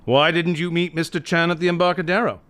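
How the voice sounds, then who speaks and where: A man's voice: fairly high pitch, steady tone, fast talker, fairly bright tone, clear, resonant tone, lightly acted. An actor playing a worried, suspicious middle-aged father in an audio mystery drama, talking in an earnest, uneasy way. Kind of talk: audio re-creation of a 1930s mystery film.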